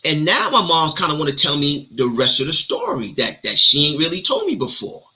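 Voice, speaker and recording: Man, 30s, New York accent, streetwise storyteller, very bright and lively. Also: a little high, fairly quick. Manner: streetwise slang, animated retelling. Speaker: co-host telling his story. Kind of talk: casual storytelling interview.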